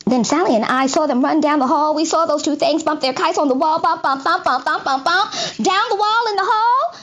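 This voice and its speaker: A woman reading a children's story aloud with expressive, theatrical, rhythmic narration. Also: high-pitched, brisk. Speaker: storybook reader.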